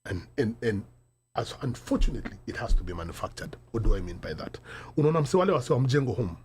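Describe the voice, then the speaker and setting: Animated Kenyan man switching languages fast. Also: medium pitch, slight background noise. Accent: Kenyan, mixing English and Sheng Swahili. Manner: code-switching mid-sentence, emphatic and storytelling, rhetorical questions. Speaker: podcast host. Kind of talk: animated panel discussion.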